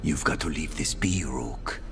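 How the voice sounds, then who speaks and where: An actor playing a bitter, menacing assassin in a video game, talking in an insinuating, condescending way. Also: male voice, rumbling low, a little slow, creaky, over music, full character voice. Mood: bitter, warning. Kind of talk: video game character acting.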